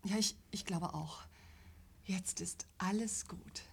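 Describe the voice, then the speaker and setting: A woman's voice: medium pitch, slow, in a whisper. An actress playing a flustered, over-earnest middle-aged mother in a radio play, trying hard to sound tolerant and stumbling as she goes. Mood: anxious, pleading.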